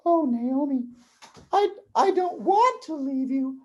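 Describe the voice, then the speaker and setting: A woman voicing a devoted, gentle young daughter-in-law in a puppet show, speaking earnestly and deliberately. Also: sits high, expressive. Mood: pleading, resolute. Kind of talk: children's puppet show dialogue.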